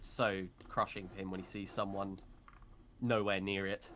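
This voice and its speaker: A man's voice: deep voice, little pitch movement, normal speed, fairly bright tone, slight background noise. A man chatting casually on a live stream as a voice actor.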